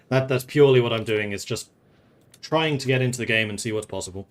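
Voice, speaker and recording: A man's voice: mid-range voice, brisk. A man from the Netherlands who grew up in England, streaming a strategy game and thinking aloud in casual commentary, chatting with viewers and laughing often.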